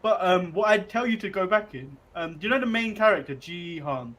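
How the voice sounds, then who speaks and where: A man's voice: sits high, animated, brisk, fairly bright tone. A man hosting a casual chat show, rambling and chuckling as he talks. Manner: rambling, chuckling, restarts often. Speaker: podcast host.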